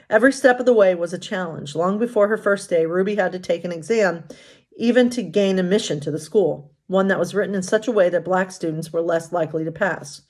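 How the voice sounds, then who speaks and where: A woman pastor hosting bedtime stories, reading aloud in an informal, expressive way with casual asides. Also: on the low side. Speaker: pastor and bedtime story host.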